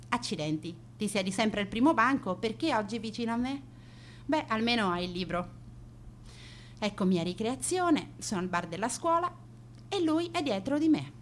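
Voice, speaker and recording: Vain, scornful schoolgirl played by a young woman, high voice, confiding and theatrical radio drama delivery, noisy recording. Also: close to an everyday voice. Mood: grudging, wary. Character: vain, scornful teenage schoolgirl narrating her days. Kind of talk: dramatic radio monologue in present tense.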